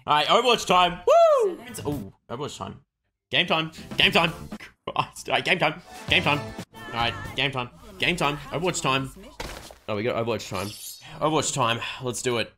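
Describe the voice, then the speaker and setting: Young man, game streamer, bright forceful voice, lively swings in pitch, excitable short exclamations. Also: moderate pace, faint music behind. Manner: excitable, repeats short catchphrases. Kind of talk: excited livestream gaming chatter.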